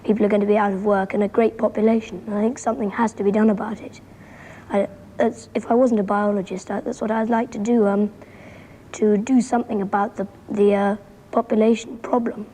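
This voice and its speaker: A teenage British girl giving thoughtful interview answers in a hesitant, measured way. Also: mid-range voice, little pitch movement, mellow, slight background noise. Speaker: young interviewee. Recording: 1960s archival interview recording.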